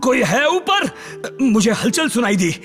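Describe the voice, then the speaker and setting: An excitable cartoon bear with a very high, piercingly bright voice. He exclaims in drawn-out calls that swoop up and down. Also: soft background music, full character voice. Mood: exasperated. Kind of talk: animated cartoon dub.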